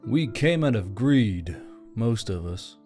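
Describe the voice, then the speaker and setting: A man voicing a weary, self-doubting, soft-hearted military commander in audiobook dialogue, speaking in a subdued way. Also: lowish voice, animated, slow pace, soft background music. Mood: confessional, heavy.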